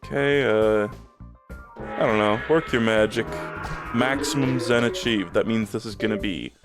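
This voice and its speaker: A man's voice: lowish voice, measured pace, music in the background. A man streaming a game, rambling in casual chat with plenty of swearing and fillers, a bit phlegmy in the throat. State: a little phlegmy.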